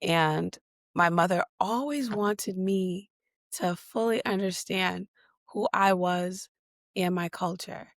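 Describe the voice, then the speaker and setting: A woman from Boston, a guest in an interview, answering in a conversational way with many pauses, fillers and restarts. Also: lowish voice, dry recording, clean studio sound. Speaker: guest, digital equity leader.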